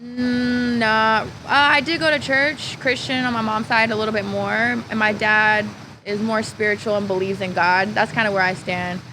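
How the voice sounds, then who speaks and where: A woman's voice: on the high side, fairly even intonation, normal speed, noisy background. A young woman from California, a podcast guest chatting candidly, laughing and swearing freely. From California, USA. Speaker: interview guest. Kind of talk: casual podcast interview.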